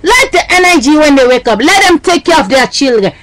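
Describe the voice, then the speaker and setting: A woman's voice: sits high, expressive, fairly quick, fairly bright tone. A woman talking into her webcam in a rambling, agitated monologue, repeating herself and using broken, non-native grammar. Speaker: webcam vlogger. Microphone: built-in webcam mic.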